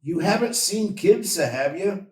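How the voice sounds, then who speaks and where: A man's voice: high voice, animated, measured pace, darkish tone, pitched above the performer's own voice. An upset young shepherd boy from a storybook, read by a man, pleads in a raised, emphatic voice. It is plaintive and heard from a little distance in a roomy space. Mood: anxious. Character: upset young shepherd boy seeking his lost lamb. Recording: roomy echo, distant from mic.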